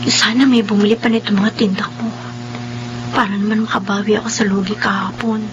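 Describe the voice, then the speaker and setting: An actress playing a hardworking, earnest young daughter who peddles rice cakes and frets, in a radio drama. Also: female voice, mid-range voice, little pitch movement, fairly quick, dull audio, noisy background, lightly acted. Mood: weary.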